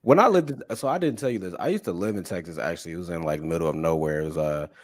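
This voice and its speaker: A man hosting a casual podcast, from the northern US, asking relaxed, slangy questions and laughing often. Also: low voice, very fast. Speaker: podcast host. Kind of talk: casual interview chat.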